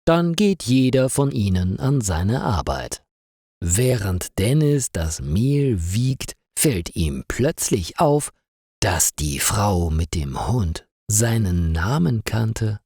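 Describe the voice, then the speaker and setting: A man narrating a scripted story for language learners in a careful, deliberate way. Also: fairly low pitch, big pitch swings, dark tone, dry recording, clean studio sound. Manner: careful, clearly paced for learners.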